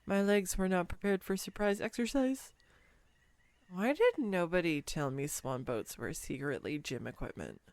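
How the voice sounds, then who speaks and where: A woman playing a clumsy, excitable young woman on a first date in a roleplay audio, with a bubbly, hesitant, playfully teasing manner. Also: on the low side, normal speed, dry recording. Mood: fond, amused. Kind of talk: scripted girlfriend roleplay audio, intimate one-sided dialogue.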